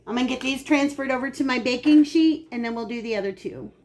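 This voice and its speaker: A woman hosting a home cooking video, her voice dry and raspy today, chatting casually as she explains each step. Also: high-pitched, quick pace, darkish tone. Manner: chatty, explains steps while working. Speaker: cooking channel host. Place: home kitchen.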